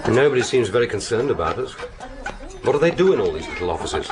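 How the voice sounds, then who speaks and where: An actor in a radio drama playing a cool, wry British secret agent with a dry, understated delivery. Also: male voice, on the low side, very animated, fast talker, crowd noise. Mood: curious. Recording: background noise behind the voice.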